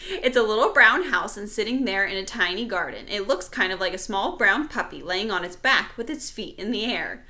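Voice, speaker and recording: Woman, bright crisp-edged voice, animated intonation, classroom-style storybook read-aloud, explanatory asides to kids. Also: medium pitch, moderate pace. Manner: explanatory, addressing young listeners directly. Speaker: teacher reading aloud to pupils.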